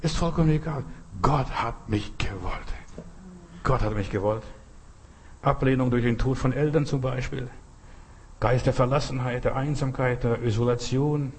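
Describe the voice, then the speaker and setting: A man's voice: medium pitch, moderate pace, pauses often, slight background noise. A man giving a charismatic sermon, stressing and repeating short phrases. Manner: emphatic, repeats phrases, cries hallelujah. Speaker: preacher.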